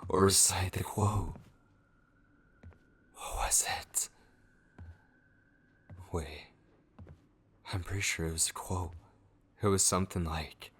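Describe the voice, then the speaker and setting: A sinister, possessive male tormentor acted by a man speaks in a very deep voice full of gravel. He goes very slowly through an intimate horror ASMR monologue, coaxing and mocking with false tenderness, often sinking to a whisper. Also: fairly bright tone, a little hiss or hum, heavily acted. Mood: coaxing, taunting, then musing. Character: sinister, possessive, taunting male voice haunting a captive vampire.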